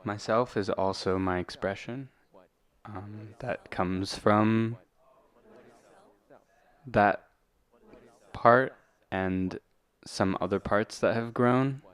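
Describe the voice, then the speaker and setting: A man reflecting on personal matters in a halting, thoughtful way. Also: lowish voice, smooth.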